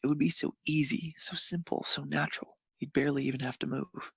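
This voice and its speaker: Male narrator, gravelly, husky, dry close recording, scripted fiction reading. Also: a little high, level tone, a little fast, fairly bright tone, no room sound.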